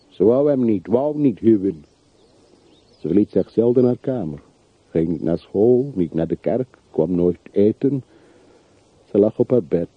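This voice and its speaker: A man's voice: on the low side, slightly rough, no room sound, exaggerated voice. An actor in a radio drama playing a brooding, bitter man from a rich family who mourns his dead in melancholy monologue. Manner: melancholy, reflective, literary monologue. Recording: muffled, narrow-band old radio play recording.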